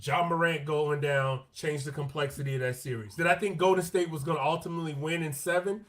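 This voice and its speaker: A man co-hosting a podcast in casual sports chat, animated and slangy, repeating his points for emphasis. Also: fairly high pitch, brisk. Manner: animated, quotes players in their own voices. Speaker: podcast co-host.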